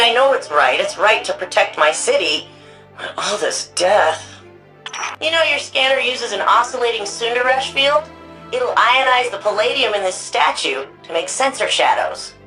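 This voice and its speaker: A woman's voice: mid-range voice, fairly bright tone, low-quality recording. An actress playing a wry, anxious woman archivist and resistance hacker in a sci-fi video game, speaking in a casual, conversational way. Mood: anxious, wistful. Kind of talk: video game mission dialogue.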